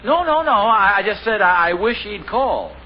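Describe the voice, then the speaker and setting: A man's voice: high-pitched, very animated, moderate pace, highs cut off. A middle-aged man playing a genial, easily flustered family father in a radio sitcom, chuckling and amiable. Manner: chuckling, amiable, a little bumbling. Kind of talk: 1950s radio sitcom acting. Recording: scratchy 1950 radio broadcast with hiss.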